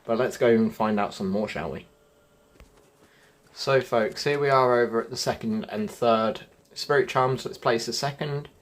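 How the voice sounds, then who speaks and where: A man's voice: mid-range voice, normal speed. A man hosting a gaming channel, talking viewers through a gameplay walkthrough in a chatty way and calling them folks and guys.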